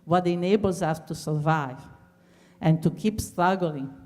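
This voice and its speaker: A woman closing a lecture, earnest and measured, pausing on fillers. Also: low-pitched, a little mellow. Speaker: speaker at a talk. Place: large lecture hall. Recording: hall reverb from a distance.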